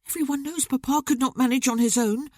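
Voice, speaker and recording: A narrator putting on an impulsive teenage boy from a storybook, his voice pitched up high and pleading in outbursts. He sounds hot-tempered and quick to protest, close and dry on the mic. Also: fairly even intonation, a little mellow, dry recording. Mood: distraught. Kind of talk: audiobook character voice.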